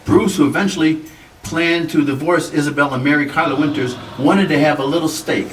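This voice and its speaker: An actor playing an exasperated, gruff police lieutenant with dry humour, in 1940s radio drama style. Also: male voice, a little high, a little fast, distant mic, lightly acted. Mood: puzzled. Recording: echoey room, off-mic, faint noise.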